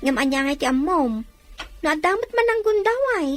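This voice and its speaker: A woman's voice: very high voice, animated, brisk, faint music behind. A woman playing a shrewd overseas worker giving advice in an Ilocano audio drama. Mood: advising, reproachful. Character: shrewd woman working abroad, advising a friend about money. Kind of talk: Ilocano radio-style drama acting.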